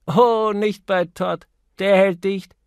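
A man's voice: high-pitched, fairly even intonation, slow pace, darkish tone, clean tone, no echo, studio quality, pitched above the performer's own voice. A man voicing a flighty, spoiled young woman who chatters in a gushing, insistent way, as an audiobook character. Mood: dismissive. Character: flighty, spoiled young party woman, petulant and craving thrills.

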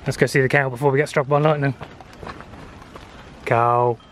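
A man's voice: mid-range voice, steady tone, moderate pace, background noise. A man in his thirties, vlogging casually into a handheld camera with dry, understated humour. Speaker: travel vlogger. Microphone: handheld camera at arm's length. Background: loud music.

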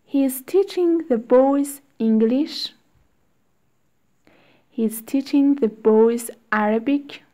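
A woman reading short English phrases aloud one at a time, with long pauses for learners to repeat. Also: high-pitched, mellow. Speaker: English teacher. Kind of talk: slow read-aloud drill for learners.

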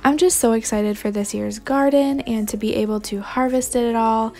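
A woman's voice: on the high side, steady tone, normal speed, a little mellow, a little hiss or hum. A young adult woman giving casual vlog narration as a homemaker. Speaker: homemaking vlogger.

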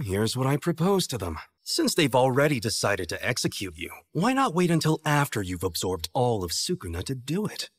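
A man's voice: mid-range voice, breathy edge, dry recording, studio quality. An American man playing a cocky, playful young sorcerer teacher in an English anime dub, with a breezy manner.

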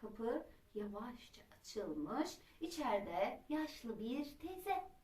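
A woman's voice: fairly high pitch, very animated, extremely slow, darkish tone. Woman storyteller in an echoey room. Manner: dramatic, with traditional storyteller phrasing. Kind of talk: expressive children's folk-tale narration. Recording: roomy echo, distant microphone.

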